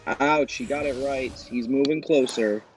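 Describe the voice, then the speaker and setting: A man's voice: mid-range voice, measured pace. A man hosting a quiz show, addressing contestants directly in a presenter's delivery. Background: background music.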